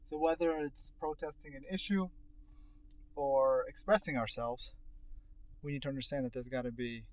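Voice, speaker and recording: A man's voice: mid-range voice, fairly even intonation, normal speed, rough recording. A man co-hosting a casual discussion, putting probing and rhetorical questions in a conversational way. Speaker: co-host asking questions. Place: inside a car.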